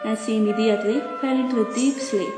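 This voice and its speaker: A woman's voice: a little high, steady tone, fast. A woman telling a scripted fairy tale as a storyteller. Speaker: storyteller in a school project. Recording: echoey hall, loud music behind.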